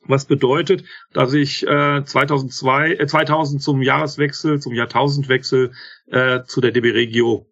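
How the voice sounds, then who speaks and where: A man in his fifties, guest on an interview podcast, talking haltingly with many filler sounds and self-corrections. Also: medium pitch, fairly even intonation, a bit bright, highs cut off. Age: 50s. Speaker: interview guest, rail manager. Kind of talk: casual spoken interview.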